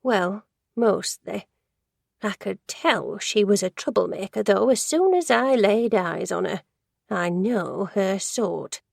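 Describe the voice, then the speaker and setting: A woman's voice: mid-range voice, animated, slow pace, vocal fry, no room sound, clean studio sound, exaggerated voice. A woman voicing a gossipy, judgmental older woman who works in an office, rambling and easily sidetracked in a storybook reading. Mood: disapproving.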